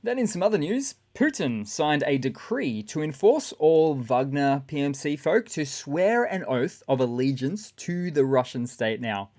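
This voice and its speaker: A man with a raspy voice hosting a casual, sarcastic news commentary. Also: fairly high pitch, sing-song, a little slow, few pauses, a little mellow. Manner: sarcastic, chatty. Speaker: channel host.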